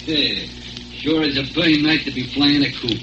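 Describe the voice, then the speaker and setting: A man's voice: a little high, close to an everyday voice. A slangy gangster henchman acted by an American man in a radio serial. He talks tough and jumpy in a slightly raised voice. The old recording is echoey, muffled and noisy. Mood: gloating. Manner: tough, hurried gangster talk. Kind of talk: 1940s radio adventure acting.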